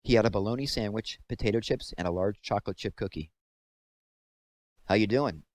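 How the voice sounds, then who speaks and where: Guy with a lowish voice doing a read-aloud of a kids novel, narrating. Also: fast talker, a little mellow, no room sound. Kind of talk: scripted narration of a children's novel.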